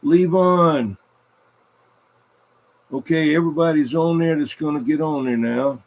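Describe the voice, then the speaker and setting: A man's voice: a little high, measured pace, darkish tone. A man hosting a game over the radio with informal, repetitive live chatter, announcing as he goes. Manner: informal, repeats himself.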